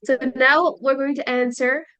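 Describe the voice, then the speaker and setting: A woman teacher leading a listening lesson, explaining slowly and checking in with her students. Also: high-pitched, fairly even intonation, fairly bright tone, clean tone. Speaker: English teacher.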